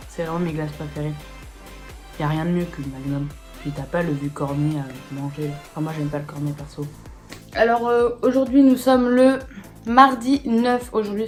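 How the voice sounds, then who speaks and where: A young woman with a hoarse voice, chatting casually to camera in a beauty vlog, full of slang and swearing. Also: fairly low pitch. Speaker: beauty vlogger.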